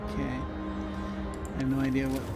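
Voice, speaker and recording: A man casually thinking aloud while playing a strategy game, halting and chuckling to himself. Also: medium pitch, monotone, loud music behind the voice. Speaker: gameplay commentator. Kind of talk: casual let's-play commentary.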